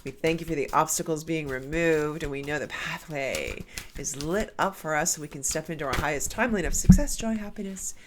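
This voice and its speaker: A woman's voice: low voice, fairly quick, background noise. A woman doing a casual spiritual card reading, her nose stuffed up from a cold, talking in a meandering way with many restarts. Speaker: tarot card reader.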